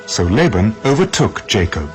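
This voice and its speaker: A man's voice: on the low side, big pitch swings, moderate pace. A man narrating a dramatized scripture recording as a scripted storyteller. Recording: music behind the narration. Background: music.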